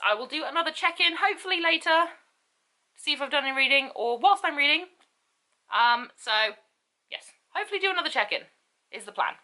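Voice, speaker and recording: A woman's voice: fairly high pitch, pushed and bright. A young woman vlogging casually to camera, rambling with many ums and repeats. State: stuffy, sore throat, lethargic. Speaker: book vlogger. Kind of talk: casual vlog talk to camera.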